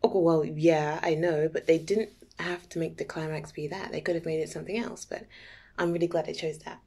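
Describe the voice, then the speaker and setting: A woman playing a playful, nervous young date in an intimate ASMR roleplay, soft and halting, often near a whisper. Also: low voice, steady tone, breathy edge. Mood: casual, teasing. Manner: halting, giggly, teasing.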